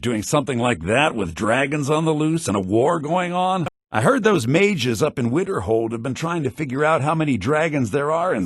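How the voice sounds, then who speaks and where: An actor playing a pompous, lecherous and scheming wealthy merchant in a fantasy video game, with a raspy voice. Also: male voice, medium pitch, no echo, studio quality. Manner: pompous, smug, theatrical.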